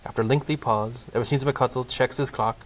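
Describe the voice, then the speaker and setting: A man's voice: mid-range voice, fairly even intonation, fast talker. A man narrating a comedic Christmas audio play from a script, in storybook style. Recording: clearly noisy amateur recording.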